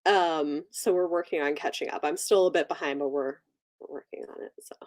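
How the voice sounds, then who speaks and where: A woman's voice: low-pitched, brisk, darkish tone. A woman chatting casually and informally as a livestream host, enthusiastic about what she likes. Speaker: book channel livestream host. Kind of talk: casual chat.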